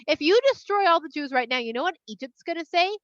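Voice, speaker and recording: A woman's voice: high voice, expressive, fast talker, fairly bright tone, no room sound, dull audio. A woman voicing an earnest male prophet in a children's story, arguing bluntly and persuasively. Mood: urgent, blunt.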